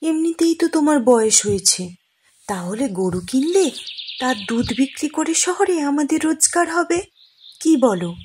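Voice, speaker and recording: A woman's voice: sits high, lively intonation, normal speed. A woman playing a woodcutter's caring, practical wife in a cartoon, in a coaxing, reassuring manner. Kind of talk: cartoon moral-story dialogue. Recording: faint noise with birdsong.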